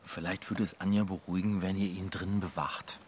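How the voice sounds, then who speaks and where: An actor playing a wry, sarcastic, unbothered husband in a naturalistic radio drama, speaking in a dry, ironic way. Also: male voice, sits low, flat delivery, brisk, a little hiss or hum, lightly acted.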